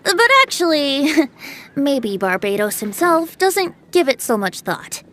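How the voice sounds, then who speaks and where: A woman's voice: high voice, very animated, slow, fairly bright tone, full character voice. An actress playing a playful, carefree young male bard in a video game, with a theatrical, lilting, breezy delivery. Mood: lighthearted, reasoning.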